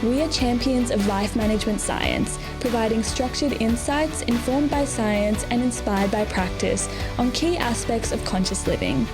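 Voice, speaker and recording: A woman's voice: fairly high pitch, normal speed, hardly any pauses, over music. A woman hosting a podcast in an informal, chatty way. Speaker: podcast host. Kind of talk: casual podcast interviewing.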